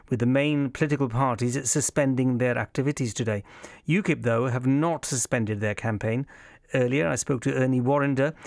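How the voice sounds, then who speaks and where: British male radio presenter, mid-pitched and probing. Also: darkish tone. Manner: probing, challenging, interjecting. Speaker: local radio presenter, interviewer. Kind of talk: conversational political interview.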